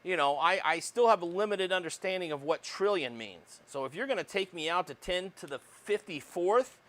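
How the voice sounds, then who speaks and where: A man lecturing on electronics with a loud voice that fills the room, pitched a little above the usual male range. He is recorded on a clip-on mic. He keeps checking in with his students as he talks and fills his pauses with lots of ums and uhs. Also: animated. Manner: loud projecting voice, says he yells; frequent fillers and tag questions. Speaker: college electronics instructor. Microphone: lapel mic.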